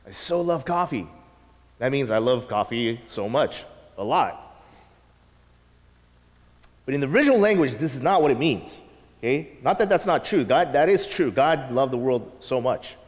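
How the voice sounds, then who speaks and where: A man in his thirties preaching a sermon from the stage of a church sanctuary, teaching with lively asides. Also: a little high. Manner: animated teaching with acted quotes and asides. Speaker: pastor. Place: church sanctuary, large indoor room. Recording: long reverb of a church hall.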